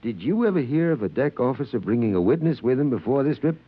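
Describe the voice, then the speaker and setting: Stern spaceship captain, muffled radio. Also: male voice, mid-range voice, big pitch swings, fast talker, slightly rough. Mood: stern, probing. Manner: commanding, clipped, interrogating. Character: stern, suspicious male spaceship captain interrogating his crew. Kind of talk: old-time radio drama acting. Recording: muffled old radio recording.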